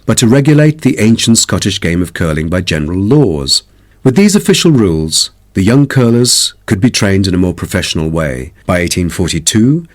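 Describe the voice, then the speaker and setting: A man delivering scripted educational narration as a lecturer, in a formal, instructive manner. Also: fairly low pitch. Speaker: listening test lecturer.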